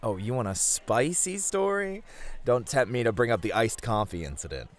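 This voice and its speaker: A man's voice: mid-range voice, big pitch swings, normal speed, natural voice. A man playing a bashful yet flirty male bunny host who gets easily flustered, in an ASMR roleplay. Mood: wry, storytelling. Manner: flustered, hesitant, playfully protesting.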